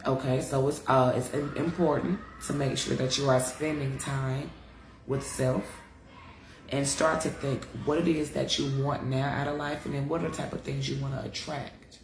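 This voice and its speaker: A woman's voice: very deep voice, steady tone, normal speed, roomy sound, a little hiss or hum, low-quality recording. A congested woman giving a casual live-stream astrology talk, chatty and frank, cursing freely and calling her viewers y'all. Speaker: astrology teacher. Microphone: live-stream device mic.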